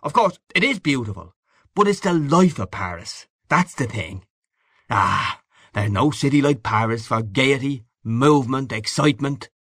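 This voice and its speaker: A man's voice: mid-range voice, lively intonation, a little slow, fairly bright tone, no echo, studio quality, pitched above the performer's own voice. A man voicing a hearty, boastful newspaperman in an audiobook, breezy, expansive and patronising. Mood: boastful, cheerful. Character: boisterous, boastful, hearty Irish newspaperman back home. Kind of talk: audiobook character dialogue.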